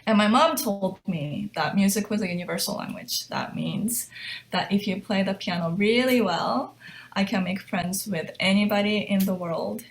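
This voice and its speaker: A middle-aged woman from Japan speaks as a guest pianist in an interview, her voice sitting at an ordinary middle pitch. She tells her story reflectively and breaks into light laughs between thoughts. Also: normal speed.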